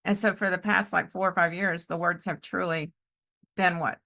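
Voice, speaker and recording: Bright-voiced chatty middle-aged woman. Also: on the low side, moderate pace, vocal fry. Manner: chatty and animated, often acting out reported dialogue. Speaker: podcast host and life coach. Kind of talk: casual conversational storytelling with faith testimony.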